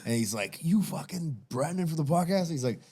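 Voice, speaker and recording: A man with a somewhat raised pitch, co-hosting a podcast with emphatic, profane enthusiasm. He keeps peppering his sentences with "like" as he talks. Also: animated, brisk, dark tone, breathy edge. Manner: emphatic, swears a lot, keeps saying "like". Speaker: podcast co-host. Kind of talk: casual chat.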